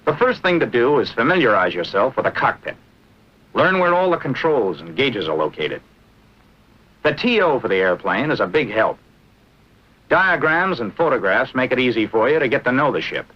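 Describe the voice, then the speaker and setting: An American man narrating a 1940s military training film in a brisk, instructive manner with clipped short phrases. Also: mid-range voice, big pitch swings. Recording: dull, band-limited vintage film soundtrack.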